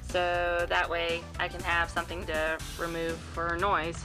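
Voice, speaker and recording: A woman's voice: lowish voice, monotone, unhurried, music in the background. A young American woman giving a casual, rambling, unscripted tutorial at home in an informal way. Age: young adult. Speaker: tutorial host, amateur fandubber. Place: cluttered room at home.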